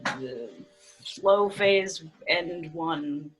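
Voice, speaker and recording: A woman's voice: on the low side, steady tone, drawn-out words, rough recording. A woman chatting casually as a guest in a wry, playful manner. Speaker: guest actor on a live stream. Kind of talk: casual chat. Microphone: desk microphone on a video call.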